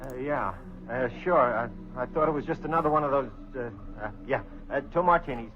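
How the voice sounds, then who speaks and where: A man's voice: medium pitch, brisk, natural voice. A man playing a stammering bartender. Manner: stammering, flustered. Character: flustered male bartender. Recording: dull old radio recording.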